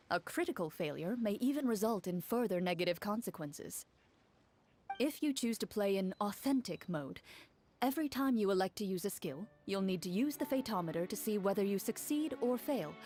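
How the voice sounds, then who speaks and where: A woman playing a composed young female duelist who runs a tabletop game, explaining rules and narrating in a measured, calm way. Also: medium pitch, natural voice. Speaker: game master. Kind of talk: game dub, calm storytelling.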